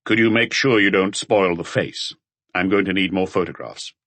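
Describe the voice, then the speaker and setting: Man voicing a low, brooding police detective. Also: moderate pace, fairly bright tone, no room sound. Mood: businesslike. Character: brooding, plain-spoken male police detective, middle-aged.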